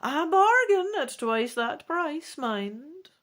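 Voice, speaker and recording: A woman's voice: sits high, sing-song, slow, fairly bright tone, voice pushed up, exaggerated voice. A woman voicing a mysterious, confident old woman who sells magic wares, in a cryptic, theatrical storybook reading. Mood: shrewd. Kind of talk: dramatic gamebook reading.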